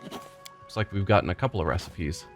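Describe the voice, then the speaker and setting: A man hosting a let's play, reading game text aloud and musing in a relaxed, chatty way. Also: sits low, moderate pace, soft background music. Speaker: gaming channel host.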